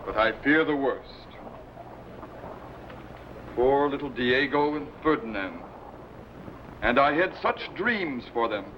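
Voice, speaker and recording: A man's voice: medium pitch, animated, takes it slowly, pauses often. A man playing a weary, earnest middle-aged sea admiral in a formal, declamatory old-time radio adventure style. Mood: weary, foreboding. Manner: formal, declamatory archaic phrasing. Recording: dull, noisy old radio recording.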